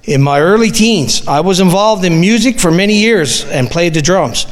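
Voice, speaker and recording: A middle-aged man with a Newfoundland accent reads his own testimony to a church, plain and earnest, stumbling now and then. His voice sits high for a man, and a long reverb trails it through the hall. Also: lively intonation, moderate pace. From Newfoundland, Canada. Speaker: guest giving a church testimony. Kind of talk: reading a personal testimony aloud.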